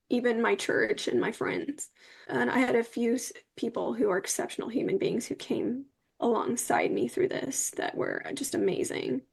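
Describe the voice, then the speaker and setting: A young woman being interviewed, with a slightly high voice full of vocal fry. She talks candidly, in a personal, conversational way. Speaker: interview guest.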